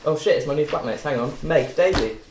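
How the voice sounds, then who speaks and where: A brash young male student, played by a man at a table read, teasing and crude in manner. He talks very quickly. The recording is roomy, with faint noise. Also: on the high side, close to an everyday voice. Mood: cocky. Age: young adult. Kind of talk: script read-through.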